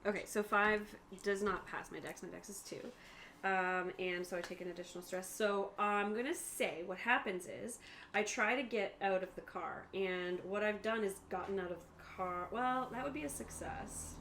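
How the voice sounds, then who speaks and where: A woman casually narrating a tabletop roleplaying game and explaining rules, with an animated way of talking. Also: medium pitch, normal speed, a little hiss or hum. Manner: animated, laughs readily. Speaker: game master and player in a tabletop game.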